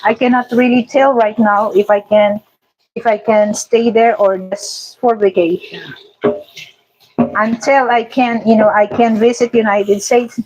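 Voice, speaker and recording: A Filipino woman chatting casually with viewers in halting English, full of fillers and restarts. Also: fairly high pitch, fairly even intonation, darkish tone, highs cut off, a little hiss or hum. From Philippines. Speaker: vlogger. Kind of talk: casual live chat with viewers. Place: home kitchen.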